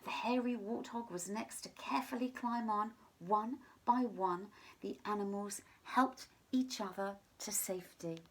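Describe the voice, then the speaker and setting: A woman with a mid-pitched voice reads a storybook for children. Her delivery is expressive and deliberate, shaped as storytelling. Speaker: teacher reading a picture book to children. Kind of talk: children's story read-aloud.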